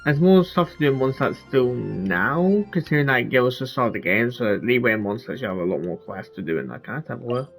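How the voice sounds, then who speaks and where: A man's voice: mid-range voice, animated, fast, creaky, few pauses, faint music behind. A man doing casual gameplay commentary, rambling and thinking aloud. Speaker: game streamer.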